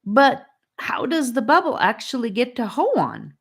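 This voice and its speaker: A woman's voice: fairly high pitch, wildly swooping pitch, measured pace, breathy edge, voice pushed up, exaggerated voice. A woman voicing an impatient young boy who exclaims and demands. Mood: disbelieving. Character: excitable frustrated young boy.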